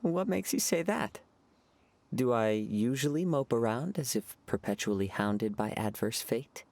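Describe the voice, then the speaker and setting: A man playing a serene, gentle young wandering poet swordsman in a video game, speaking in calm, measured, poetic phrases. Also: medium pitch, a little hiss or hum, close to an everyday voice. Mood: calm, gently amused. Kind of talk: video game character acting.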